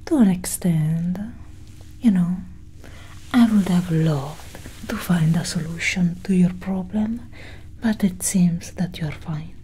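A young woman whispering breathily and deliberately in a soft ASMR roleplay as a calm alien scientist, close to the mic. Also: poor audio. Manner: slow, deliberate, hushed. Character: calm, clinical female alien scientist examining a human. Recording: steady low engine-like hum.

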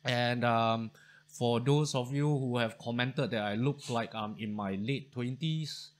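A man's voice: mid-range voice, unhurried. Man, 40s, chatty, lots of ums, close studio mic, home study. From Singapore. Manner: chatty, thankful, many fillers and restarts. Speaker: history channel host. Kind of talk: casual talk to camera.

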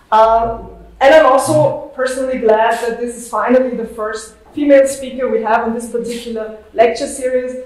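Woman, event host, formal introduction, somewhat high voice, far from mic. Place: lecture hall. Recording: echoey hall, distant mic.

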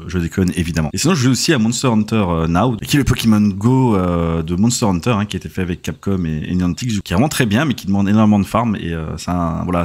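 Tired fast-talking Alsatian gamer. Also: male voice, low voice, darkish tone. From Alsace. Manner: chatty, joking, many fillers. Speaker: gaming channel host. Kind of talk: casual let's-play commentary. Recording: game music faintly behind.

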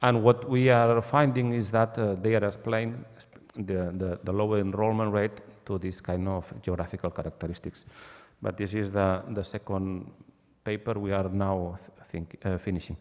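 A man with a slightly low voice speaks into a desk microphone at a conference. He talks in a measured way, often repeating words and restarting his sentences. The recording carries the long reverb of a large hall. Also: little pitch movement, darkish tone. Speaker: conference presenter. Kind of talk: academic talk. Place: conference hall, seated at a panel table, masked. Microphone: desk microphone on a stand.

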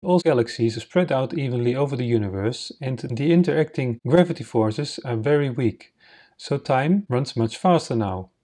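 A man whose native language is Dutch narrates a slideshow lesson, explaining formulas slowly and step by step. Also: mid-range voice, expressive, mellow. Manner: slow, methodical, explains step by step. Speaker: science channel host.

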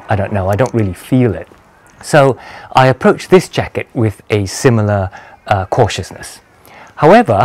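Low-voiced older man reviewing products. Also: a little mellow. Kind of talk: casual product review to camera. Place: small walled garden patio, outdoors. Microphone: lapel mic.

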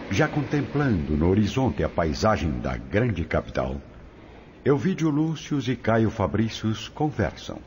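A man narrates a scripted audio drama in a low, deep voice. His pitch moves expressively from phrase to phrase, over faint ambient noise. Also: darkish tone.

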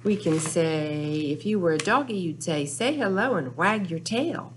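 A woman's voice: on the low side, lively intonation, a little slow, slight background noise. An older woman hosting a children's storytime, talking in a simple, repetitive, sing-song way to young children in a small room. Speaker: children's librarian, storytime host. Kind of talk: children's storytime with rhymes, counting and songs. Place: small indoor room with whiteboard.

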